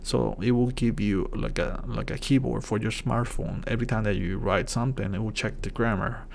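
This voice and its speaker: A man's voice: on the low side, normal speed, vocal fry, slight background noise. A man from Puerto Rico with a Puerto Rican Spanish accent, a native Spanish speaker, hosting a casual tech tips vlog in English with many fillers and restarts. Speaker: channel host.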